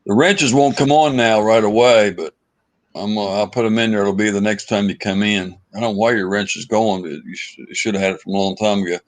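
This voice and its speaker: A man's voice: fairly low pitch, fairly even intonation, fairly quick. A man hosting a live stream panel, talking in an easygoing casual drawl. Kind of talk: casual chat.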